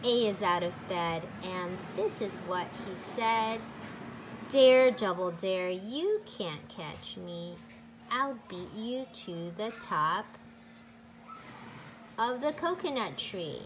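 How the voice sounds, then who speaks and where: A woman teacher reading a picture book aloud to children in a deliberate, sing-song, emphatic way. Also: medium pitch, animated, background noise.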